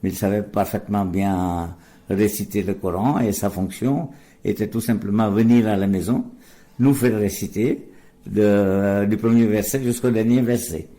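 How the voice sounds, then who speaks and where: A man's voice: fairly low pitch, fairly even intonation, normal speed, dark tone. An older man from India, a Gujarati speaker, answering interview questions in a hesitant way full of fillers and restarts. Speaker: guest, deacon. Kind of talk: radio interview.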